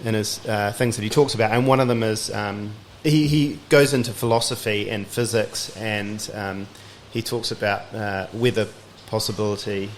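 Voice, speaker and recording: Relaxed man hosting a podcast. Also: lowish voice, moderate pace, few pauses, slight background noise. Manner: relaxed, curious, chatty with agreeing interjections. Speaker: podcast host. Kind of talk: casual interview chat.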